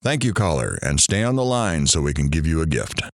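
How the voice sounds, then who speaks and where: An actor playing a chatty, showy radio talk show host in an audio drama. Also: male voice, rumbling low, very animated, brisk, dry recording, studio quality, exaggerated voice. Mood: upbeat, showy.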